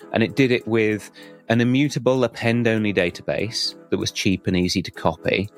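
A man hosts a programming podcast with a lively, rising delivery, leaning hard into the points he wants to land. His voice sits in a middle range and moves a lot in pitch. Faint music plays quietly underneath. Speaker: podcast host. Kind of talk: scripted intro then casual interview.

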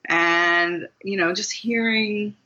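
A woman from Maryland, a guest author, telling stories in an animated way and laughing often. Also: mid-range voice, takes it slowly. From Maryland, USA. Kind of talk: conversational interview. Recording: muffled lo-fi sound.

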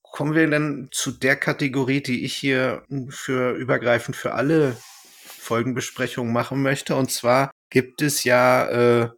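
A man's voice: medium pitch, fairly even intonation, a little slow, fairly bright tone. A man hosting a podcast, chatting casually as he recaps a story. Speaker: podcast host. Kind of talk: casual podcast chat, recapping an audio drama.

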